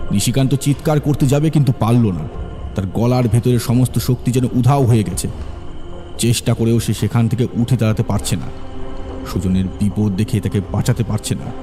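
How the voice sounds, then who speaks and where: A man's voice: on the low side, warm tone. A man narrating a horror story as a storyteller, in a dramatic, suspenseful way. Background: faint background music.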